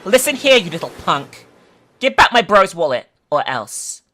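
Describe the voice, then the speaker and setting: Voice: medium pitch, very animated, moderate pace, fairly bright tone. A narrator voicing a hot-tempered thirteen-year-old boy, sullen, indignant and confrontational. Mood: threatening.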